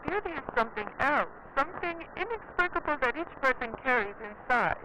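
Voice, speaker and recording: A woman's voice: high voice, animated, brisk. A woman speaking on a noisy, radio-like played recording.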